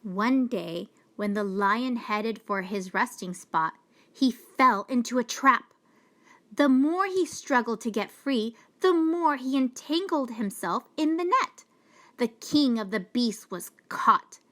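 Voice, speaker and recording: A woman reading a children's storybook aloud with deliberate, expressive storytelling. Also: on the high side. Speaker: storybook reader. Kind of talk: children's read-aloud narration.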